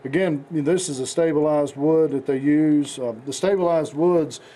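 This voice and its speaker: A man with a hoarse, raspy voice from the flu, hosting a casual knife show with rambling talk and many fillers. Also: fairly high pitch, moderate pace, dark tone, poor audio. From United States. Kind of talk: casual product demonstration.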